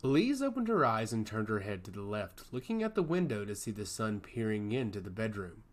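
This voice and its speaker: A man's voice: on the low side, sing-song, brisk. A man reading a scripted fantasy story aloud as its narrator. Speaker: audiobook narrator.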